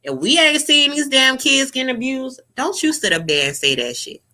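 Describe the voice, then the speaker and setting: Sassy, animated young woman vlogger. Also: high voice, moderate pace. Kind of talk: casual reaction commentary.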